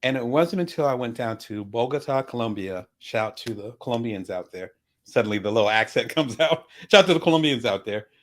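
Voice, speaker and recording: A middle-aged man hosting a casual vlog, chatty and animated, full of asides and shout-outs to his viewers. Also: medium pitch, a little fast. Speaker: vlog host. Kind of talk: casual talk to camera about products.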